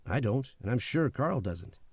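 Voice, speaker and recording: Actor as blunt easygoing suitor, lively swinging intonation, mid-pitched male, casual, candid, old-time radio drama, dry sound. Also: moderate pace, breathy edge, no room sound. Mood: frank, earnest.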